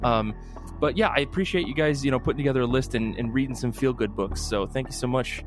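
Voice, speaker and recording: A man hosts a casual podcast, talking quickly and enthusiastically. His pitch is average for a man, and the style is loose and chatty, with faint music behind the voice. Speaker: podcast host.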